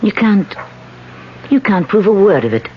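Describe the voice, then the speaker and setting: A woman's voice: mid-range voice, big pitch swings, normal speed, husky. An actress playing a proud, idealistic woman politician, articulate and guarded, in a radio drama. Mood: defensive. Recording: muffled, noisy vintage radio recording.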